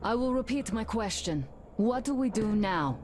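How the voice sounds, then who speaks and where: A woman's voice: fairly high pitch, animated, moderate pace, noisy background, close to an everyday voice. An actress playing a blunt young woman soldier. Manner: blunt, pressing questions, swearing. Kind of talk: video game cutscene acting. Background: game score music.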